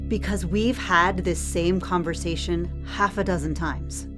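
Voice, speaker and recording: A woman's voice: fairly low pitch, steady tone, unhurried, a bit bright. A woman playing a guarded, weary arena worker in an audio drama, blunt and dry as she presses for answers. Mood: skeptical, pressing. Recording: music under the voice.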